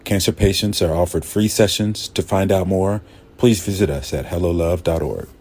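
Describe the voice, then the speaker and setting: A man reading a scripted radio ad in a calm, measured way. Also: sits low. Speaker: ad announcer.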